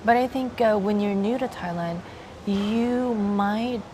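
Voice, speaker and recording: Young Filipino-accented woman, soft-spoken and hesitant, interview over clear ambient noise. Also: mid-range voice. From Philippines. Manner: soft-spoken, hesitant with many pauses, laughs often. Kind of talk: casual interview chat.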